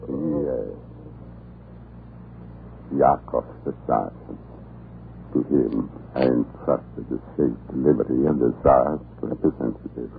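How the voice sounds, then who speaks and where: A man's voice: a bass voice, creaky, full character voice. A man playing a cruel, scheming Russian island commandant in a 1940s radio drama, menacing and theatrical with mock kindness. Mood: grave, gloating. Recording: muffled, noisy old radio broadcast.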